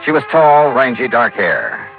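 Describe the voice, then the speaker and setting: A tough, wry American private investigator in an old radio drama. His delivery is clipped and dry, swinging freely in pitch as he tells his case. It sounds like a tinny vintage broadcast. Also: wildly swooping pitch, measured pace, creaky, faint music behind, heavily acted. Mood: dry, matter-of-fact. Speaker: lead actor and narrator. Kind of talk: 1950s radio detective drama acting and narration.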